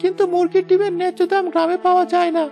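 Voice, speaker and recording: A woman's voice: very high voice, little pitch movement, racing, gravelly, warm tone, soft background music. A woman voicing an earnest, caring schoolboy from a poor village in a moral story cartoon. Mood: earnest, responsible. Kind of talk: scripted children's moral story cartoon dubbing.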